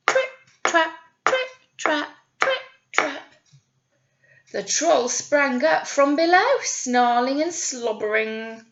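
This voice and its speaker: A woman teacher reading a picture story aloud to young children, drawing out key words and pausing to ask them questions. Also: on the high side, a bit bright, muffled recording. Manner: exaggerated, drawn-out words with questions to the listeners. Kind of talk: animated read-aloud storytelling with asides to children.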